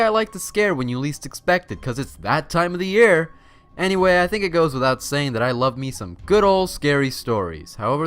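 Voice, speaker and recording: A man's voice: a little high, fairly bright tone. A man hosting and reading a scary story as narration, with a theatrical, suspenseful delivery. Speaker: host and story reader.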